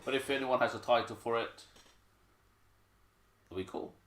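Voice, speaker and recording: A man from Hong Kong with a very deep voice, chatting and joking as he co-hosts his son's piano livestream and reads out viewer comments. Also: normal speed, a crowd in the background. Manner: chatty, joking, self-mocking. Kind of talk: casual chat reading viewer comments.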